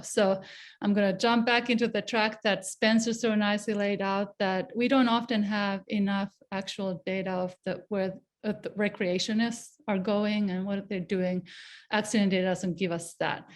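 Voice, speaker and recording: Middle-aged woman with a Finnish accent. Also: mid-range voice. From Finland. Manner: casual, conversational lecturing with fillers. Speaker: guest speaker, avalanche researcher. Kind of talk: online presentation talk.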